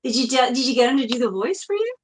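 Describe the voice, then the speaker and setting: A woman in her forties hosting a casual chat, bubbly and gushing with quick asides. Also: high voice, very animated, a crowd in the background, poor audio. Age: 40s. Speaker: host.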